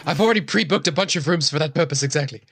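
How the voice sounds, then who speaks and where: A woman improvising as a tabletop game player, speaking in a dry, measured and wordy way. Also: low-pitched, lively intonation, slight background noise. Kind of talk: casual in-character improvised chat.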